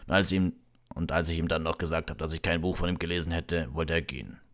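A big, friendly bearded groundskeeper from a storybook , voiced by a man, very deep in pitch. He talks in a folksy, hearty, teasing way. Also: steady tone, quick pace, a bit bright.

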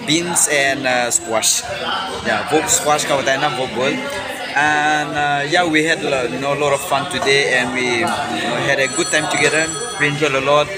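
A young man talks into a phone held at arm's length, joking and rambling with plenty of fillers. His pitch sits mid-range. Loud music plays behind him. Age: young adult. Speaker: vlogger. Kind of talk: casual vlog chat. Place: car, then a restaurant.

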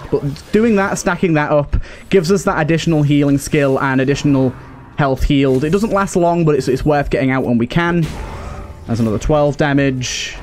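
A man's voice: fairly high pitch, a little mellow, slight background noise. A man giving casual commentary as he plays a game, thinking aloud in an understated way. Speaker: gaming video host.

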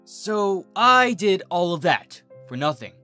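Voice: medium pitch, big pitch swings, slow, pushed and bright, soft background music, voice pushed up, full character voice. A narrator voicing a put-upon young male cartoon dragon, plaintive and exasperated. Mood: dejected.

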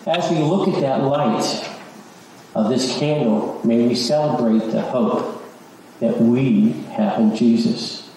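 Middle-aged or older man, church lay speaker, unhurried halting delivery, distant noisy recording. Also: mid-range voice, warm tone. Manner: halting, with repeated words. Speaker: church lay leader and search committee chair. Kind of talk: church announcement and short devotional to a congregation. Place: large church sanctuary, indoors. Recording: echoey church, distant microphone, background noise.

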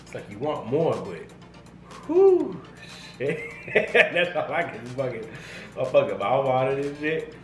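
A man's voice: mid-range voice, measured pace. A young man swearing and bantering casually at a dining table, picked up by a camera on the table. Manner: swears freely, animated, nervous laughter. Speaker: guest, vlogger's husband. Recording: echoey room with background music.

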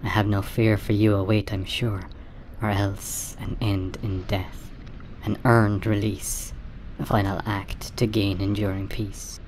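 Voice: low-pitched, flat delivery, measured pace, darkish tone. An actor playing a grieving, defiant wife and mother in a radio play, solemn, poetic and impassioned. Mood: tender resolve. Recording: noisy ambience around the voice. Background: ambient noise.

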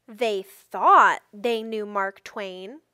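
A woman reading a children's picture book aloud expressively, with deliberate phrasing and emphatic stresses. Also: medium pitch, pushed and bright, clear, resonant tone, no room sound, clean studio sound. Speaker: storybook reader.

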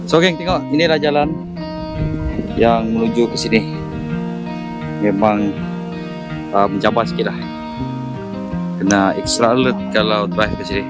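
A man's voice: fairly low pitch, unhurried, long pauses, loud music behind the voice. A man narrating a casual camping vlog, informally mixing in English words. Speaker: camping vlogger.

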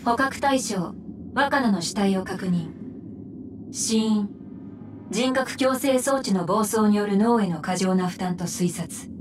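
A woman's voice: medium pitch, takes it slowly, noisy background, close to an everyday voice. Emotionless woman agent, distant voiced by an actress. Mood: detached. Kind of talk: Japanese anime game voice acting.

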